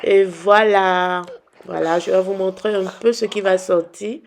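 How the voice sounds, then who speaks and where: A young woman from Benin, hoarse from a cold, chatting and teasing viewers as she demonstrates a recipe. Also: medium pitch, steady tone, unhurried, a little hiss or hum. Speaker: cooking vlogger. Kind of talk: casual cooking demonstration.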